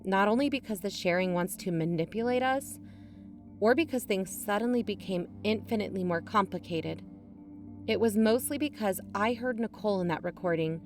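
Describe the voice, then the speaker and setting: A woman playing a sincere, troubled young woman in an audio drama, speaking and narrating in a measured, natural way. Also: medium pitch, lightly acted.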